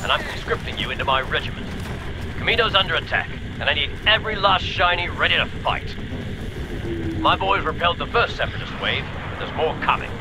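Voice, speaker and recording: An actor playing a gruff, hearty clone army commander giving soldierly orders in a video game. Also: male voice, on the high side, animated, brisk, lightly acted. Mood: commanding. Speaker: military commander. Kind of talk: video game mission briefing. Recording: battle noise behind.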